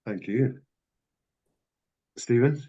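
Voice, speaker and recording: A man teaching an adult text study class, thinking out loud with many restarts and self-corrections. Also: mid-range voice, very slow, husky, highs cut off.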